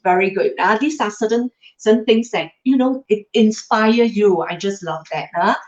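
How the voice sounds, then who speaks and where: A woman's voice: mid-range voice, normal speed. A middle-aged woman whose first language is Cantonese gives a motivational livestream talk. She speaks straight to her viewers and drops tag questions into her sentences. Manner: addresses viewers directly, tag questions like "huh?" and "lah". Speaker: livestream host. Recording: roomy, slightly dull livestream audio.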